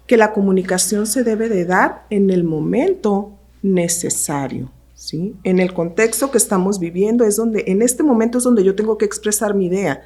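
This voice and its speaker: A woman, a podcast co-host explaining ideas in a measured way and repeating phrases for emphasis. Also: medium pitch, warm tone, husky. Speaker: podcast co-host, university professor. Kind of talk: panel discussion on a podcast.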